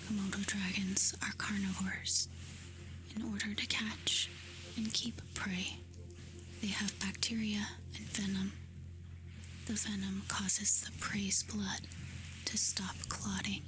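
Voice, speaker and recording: A woman doing soft-spoken ASMR, reading facts aloud in a hushed, soothing, breathy whisper. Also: mid-range voice, little pitch movement, poor audio. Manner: slow, hushed, soothing delivery with short phrases. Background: music.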